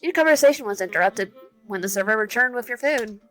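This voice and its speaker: A woman's voice: on the high side, expressive, fast, a bit bright, soft background music. A woman casually reading a dating-sim visual novel aloud, with frequent slips and restarts. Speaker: gaming channel host.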